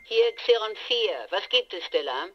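A woman's voice: mid-range voice, lively intonation, soft background music. An actress playing a stern, cautious female mothership officer in an audio drama, formal, heard over a radio. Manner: formal, measured. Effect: radio transmission. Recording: muffled radio link, faint noise.

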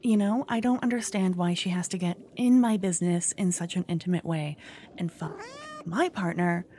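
A woman voicing a jealous, doting young witch girlfriend in an intimate ASMR roleplay, breathy and often whispering, with dramatic delivery. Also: on the low side, steady tone, moderate pace, mellow, a little hiss or hum, close to an everyday voice. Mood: seething, jealous. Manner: dramatic, swinging from cooing to venting. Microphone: close ASMR microphone.

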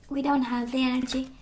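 A woman giving a casual tarot reading, chatty and reassuring, her voice strained as she loses it and clears her throat. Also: sits high, darkish tone, slight background noise. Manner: chatty, reassuring, many fillers and restarts. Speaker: tarot reader. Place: indoors at a table of tarot decks.

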